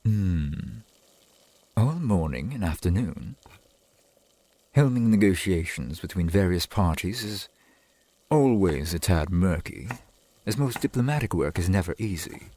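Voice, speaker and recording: Husky, low-voiced courtly vampire boyfriend played by a man. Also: expressive, unhurried, pauses often, dark tone. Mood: tender, proud. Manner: formal, archaic, genteel phrasing. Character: courtly centuries-old vampire boyfriend, adoring and proud. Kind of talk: ASMR boyfriend roleplay.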